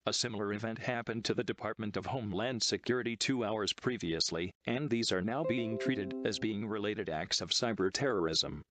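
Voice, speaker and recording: Low synthetic male emergency announcer. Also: a bit bright. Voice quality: synthetic text-to-speech voice. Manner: formal, impersonal, measured delivery. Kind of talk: scripted emergency broadcast announcement.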